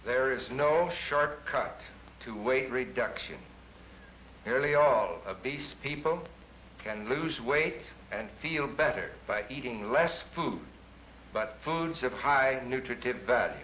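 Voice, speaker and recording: Slow, echoey doctor in old film. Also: male voice, mid-range voice, expressive, a bit bright. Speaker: doctor, expert. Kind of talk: stiff scripted statement to camera. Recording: echoey 1950s film sound, faint noise.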